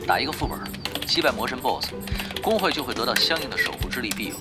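A man's voice: medium pitch, expressive, quick pace, loud music behind the voice, natural voice. A man playing a patient, organised online game guild leader, explaining things in an orderly way in an audio drama. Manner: instructive, orderly.

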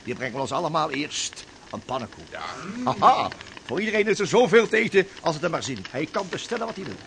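Casting: high-voiced skipper rallying stranded men, swooping theatrical delivery, halting repeats, radio play with clearly noisy sound. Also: male voice, very animated, fairly bright tone, exaggerated voice. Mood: merry storytelling. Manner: hesitant, repeating words, then theatrical storytelling. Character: animated ship's skipper rallying his stranded men with fantasy. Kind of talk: Dutch radio drama acting. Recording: noisy radio drama recording.

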